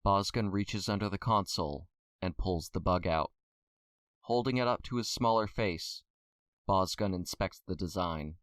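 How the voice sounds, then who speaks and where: A man's voice: low voice, a little slow, dry recording. A man narrating an audio drama from a script.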